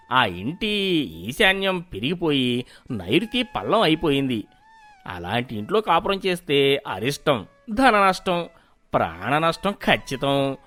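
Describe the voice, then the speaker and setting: A man voicing a sly, jealous village schemer in a cartoon moral story, theatrical and ominous with drawn-out emphasis. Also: fairly high pitch, pushed and bright, exaggerated voice.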